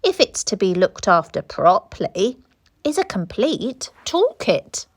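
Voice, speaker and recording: A woman reading a children's storybook, voicing a determined, resourceful woman cyclist with slow, drawn-out emphasis. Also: medium pitch, big pitch swings, breathy edge, exaggerated voice. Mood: determined, pondering. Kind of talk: children's storybook read-aloud.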